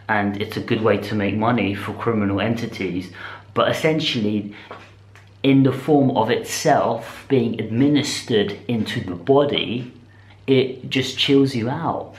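A British man in his twenties talking casually to camera in a rambling, slangy vlog style, speaking in animated bursts. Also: medium pitch, measured pace. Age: 20s. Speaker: vlogger. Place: small indoor room with bare walls. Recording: echoey, roomy sound.

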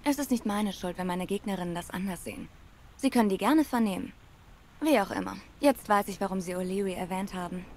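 An actress playing a poised, sharp-tongued young cat tennis star in a noir game, cool and sardonic. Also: female voice, medium pitch, brisk, lightly acted. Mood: defiant. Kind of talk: cinematic noir game acting.

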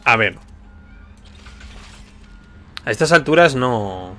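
A man's voice: medium pitch, lively intonation, slow, sharp tone. A man from giving casual gameplay commentary, musing aloud informally. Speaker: video game streamer. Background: video game sound.

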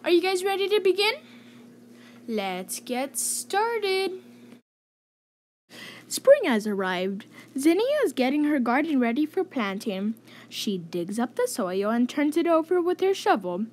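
A woman reading a children's story aloud in an expressive, clearly enunciated storytelling manner. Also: high voice, unhurried, slight background noise. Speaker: host reading children's picture book aloud.

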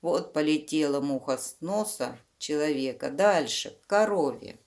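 A woman with a low voice reads to young children. She goes slowly, using fond diminutives and simple explanations as a storyteller would. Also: expressive, darkish tone. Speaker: children's book reader, channel host.

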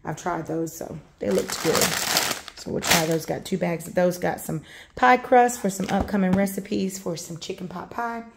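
A woman in her thirties casually listing grocery items at home, rambling with many pauses and fillers, filmed on a handheld phone. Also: fairly low pitch, fairly even intonation, creaky, very noisy. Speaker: vlogger showing a grocery haul. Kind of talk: casual show-and-tell narration. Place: home kitchen, indoors.

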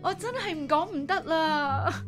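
Very high-pitched, emotional devout woman. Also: expressive, unhurried, faint music behind. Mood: emotional gratitude. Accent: Hong Kong Cantonese. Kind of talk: radio drama acting.

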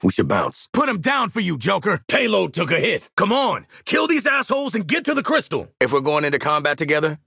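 Casting: cocky American marksman for an action game, raspy, forceful, wide swings in pitch, brash and wisecracking. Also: huge pitch swings, fairly quick, hardly any pauses, no echo, studio quality, full character voice. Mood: combative, urgent. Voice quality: gravelly, raspy. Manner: brash, slangy, confident. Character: tough, cocky, wisecracking male marksman mercenary. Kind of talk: video game combat voice lines.

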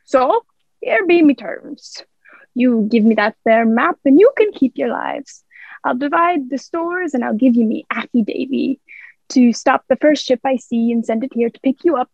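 A woman's voice: high voice, normal speed, creaky, darkish tone, no room sound, muffled recording. A young woman playing a wily, menacing male pirate captain in an amateur radio play, with drawling rustic pirate speech. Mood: sly.

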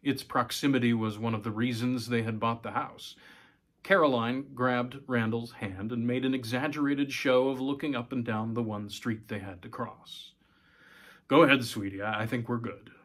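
A man's voice: mid-range voice, a bit bright. A man in his forties reading a short story aloud as scripted narration. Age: 40s. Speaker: story reader, host. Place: small room at home.

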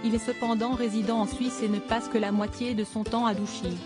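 The monotone, synthetic-sounding woman you would hear reading out gossip articles on an automated slideshow video, music playing along behind her. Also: a little high, fairly quick. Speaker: narrator of a celebrity news video. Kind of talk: scripted narration. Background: background music.

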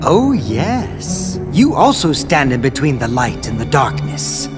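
A man playing a cold, scheming scholar in a dark robe, who speaks in a measured, riddling and superior way in a game cutscene. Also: medium pitch, big pitch swings, over music. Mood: condescending. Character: scheming robed male scholar, cold and condescending. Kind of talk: video game cutscene dialogue.

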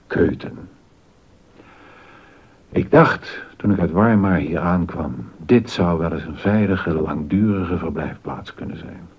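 An actor playing a troubled, disappointed court composer and husband in a scripted historical radio drama, with a husky voice. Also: male voice, sits low, takes it slowly, muffled recording, heavily acted. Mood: disillusioned.

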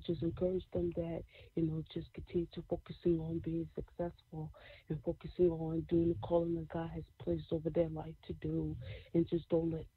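Soft-voiced woman on a tinny phone line. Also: lowish voice, breathy edge, no echo. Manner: preachy, repeats "you know" and "all right". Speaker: motivational speaker, guest. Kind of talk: faith-based interview answers.

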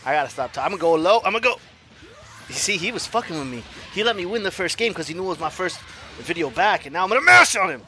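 A man in his forties commenting on his own online fighting game matches, swinging from low mutters to loud yells, laughing and cursing at himself and his opponent. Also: very animated, fast, faint music behind. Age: 40s. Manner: profane, self-mocking trash talk. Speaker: gaming YouTuber. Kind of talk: live gameplay commentary.

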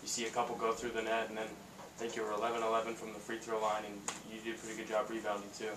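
A male reporter talks fast with almost no pitch movement. The pickup is echoey and noisy as he questions at a press conference. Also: completely flat delivery. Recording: distant, echoey, noisy room.

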